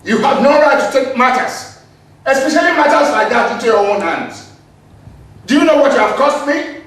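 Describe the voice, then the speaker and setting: A man's voice: extremely high pitch, lively intonation, normal speed, a bit bright. An actor with a Nigerian accent playing an imperious, agitated older chief scolding his maid in a film drama. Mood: agitated reproach. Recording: very echoey, distant, faint noise.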